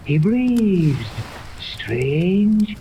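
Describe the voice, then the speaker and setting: A sinister old mastermind in a vintage radio serial. He speaks slowly and pompously, with formal, imperious diction, through a clearly noisy old recording. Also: sits high, sing-song, mellow, full character voice. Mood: brisk, commanding. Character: haughty sinister old mad scientist mastermind, male. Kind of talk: 1930s radio serial acting.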